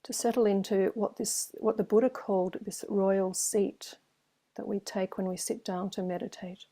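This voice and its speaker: A woman meditation teacher leading a guided meditation in a gentle, soothing way, with long pauses between phrases. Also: medium pitch, fairly even intonation.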